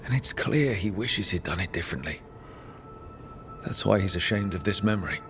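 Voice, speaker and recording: An actor playing a calm, principled rebel hero in a video game cutscene. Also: male voice, deep voice, moderate pace. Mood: measured, resolute. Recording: music behind the voice.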